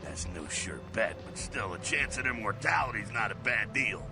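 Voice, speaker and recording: An actor playing a brash, streetwise vampire mentor in a video game, gravelly, slangy and swaggering, with a rough jovial streak. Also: male voice, lowish voice, animated, moderate pace. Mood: animated, boastful. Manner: slangy, folksy, swaggering, swears. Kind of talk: video game character acting. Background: music.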